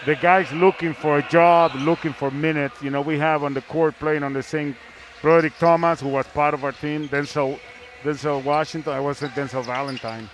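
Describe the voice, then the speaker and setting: A man's voice: on the high side, fairly even intonation, moderate pace. A man who is a native Spanish speaker and a sports broadcaster, chatting casually and telling wry stories. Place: sports arena. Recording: faint arena noise.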